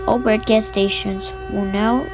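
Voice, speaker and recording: A woman playing a solemn emergency broadcast announcer, speaking gravely and haltingly as she delivers doomsday warnings. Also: mid-range voice, steady tone, heavy background noise, natural voice.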